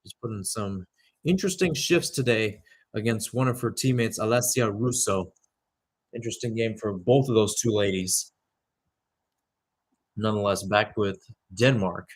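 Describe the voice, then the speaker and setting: A man's voice: on the low side, normal speed, long pauses, slightly rough. A young man giving live radio-style soccer commentary, mixing clipped calls of the play with conversational asides. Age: young adult.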